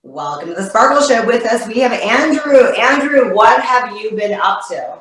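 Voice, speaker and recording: A woman's voice: a little high, lively intonation, normal speed, noisy background. Gushing woman host, echoey room. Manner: gushing, enthusiastic interviewer. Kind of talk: casual interview chat.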